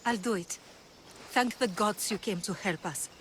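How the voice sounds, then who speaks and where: A woman's voice: medium pitch, measured pace, heavy background noise, lightly acted. Actress as shaken, grateful captive woman. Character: frightened, grateful female captive. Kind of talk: dramatic video game dialogue. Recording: game soundtrack music behind the voice. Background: music.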